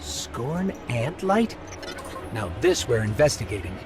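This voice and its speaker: A probing robot companion. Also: male voice, medium pitch, big pitch swings, slow pace. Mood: curious. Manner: direct, questioning. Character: steady male robot companion, curious and probing. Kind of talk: video game dialogue. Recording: loud game music behind.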